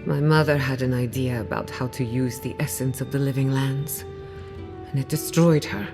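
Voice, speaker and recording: A woman playing a confident, dryly witty scholar in a video game, measured and guarded. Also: a bass voice, fairly even intonation. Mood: somber, guarded. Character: driven, wry female scholar, guarded about family loss. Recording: music playing behind the voice.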